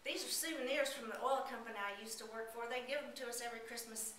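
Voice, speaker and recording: Woman playing a gentle old storekeeper, soothing folksy talk, high bright voice, echoey room heard from far off. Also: fast talker, a little hiss or hum, lightly acted. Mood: kindly. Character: kindly old man, gas station owner.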